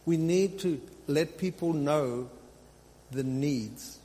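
A man's voice: a little high, takes it slowly, mellow. A man preaching as a pastor, exhorting his congregation in an unscripted teaching style and repeating phrases for emphasis. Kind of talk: sermon, extemporaneous teaching. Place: large reverberant room, live preaching. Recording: hall reverb, faint hum and noise.

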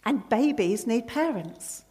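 A woman's voice: fairly high pitch, expressive, takes it slowly, dark tone. A woman preacher giving an earnest sermon, quoting scripture and hymn verses with emphasis.

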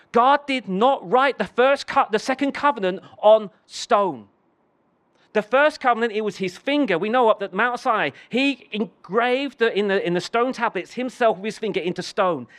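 A man's voice: high-pitched, fairly bright tone. A man preaching a sermon, telling a story with many restarts and then building to emphatic declarations.

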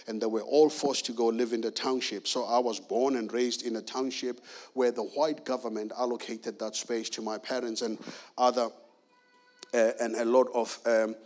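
A middle-aged man from South Africa preaching a sermon with emphatic, repeated key phrases. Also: mid-range voice.

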